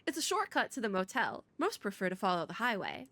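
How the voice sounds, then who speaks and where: A folksy Southern motel maid from a game, read out by a woman streamer. She is friendly, teasing and a little eerie, with a bright, lively drawl recorded dry. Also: mid-range voice, fast, dry recording, heavily acted. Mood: sly friendliness. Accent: attempted Southern US.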